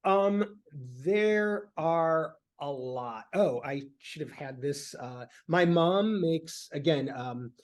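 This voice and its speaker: Male guest from Michigan, fairly high voice, halting, filler-heavy casual chat. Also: lively intonation. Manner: rambling, halting with many fillers.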